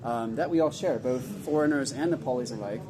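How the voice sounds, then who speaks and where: A man's voice: a little fast, crowd noise, low-quality recording. A man who runs the event, interviewing a guest in an upbeat, enthusiastic way. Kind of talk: casual interview. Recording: background noise and rumble.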